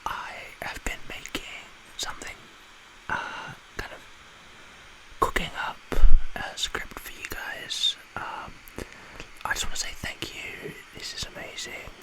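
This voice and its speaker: A man whispering to his viewers as an ASMR creator, speaking in a halting, sincere way. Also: rough recording.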